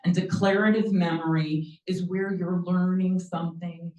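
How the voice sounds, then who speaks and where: A woman's voice: on the low side, fairly even intonation, moderate pace, dark tone, far from the mic. A middle-aged woman presenting a lecture on learning, chatting along with many ums and acting out little dialogues as a language teacher. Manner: conversational, many ums, acts out quoted dialogue. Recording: echoey room, mic from afar.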